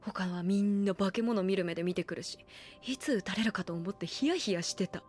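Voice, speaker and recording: A woman's voice: mid-range voice, brisk, a little mellow, faint music behind, natural voice. An actress playing a guarded, blunt young woman in an anime audio drama, speaking politely and a little hesitantly. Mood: blunt, resentful. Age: young adult.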